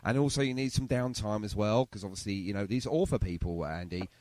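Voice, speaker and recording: A man's voice: lowish voice, brisk, darkish tone, no room sound. A man hosting a casual radio interview in a chatty, effusive way, often repeating his phrases. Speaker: radio show host.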